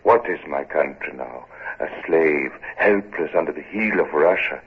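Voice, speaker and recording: A proud, bitter Finnish exile nobleman, wounded and defiant. His voice sits very low and husky, heard through a tinny old radio broadcast. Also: unhurried, exaggerated voice. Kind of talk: 1940s radio acting.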